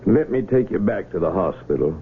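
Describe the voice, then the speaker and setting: An actor playing a calm, persuasive physician in a radio drama. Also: male voice, deep voice, a little fast, a little hiss or hum. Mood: coaxing. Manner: patient, persuasive. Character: calm middle-aged male physician, coaxing. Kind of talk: 1970s radio drama acting. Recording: tinny old radio broadcast.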